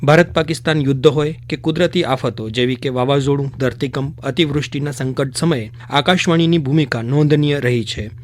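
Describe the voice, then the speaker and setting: A man presenting radio news, reading a script in a formal, measured way. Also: medium pitch.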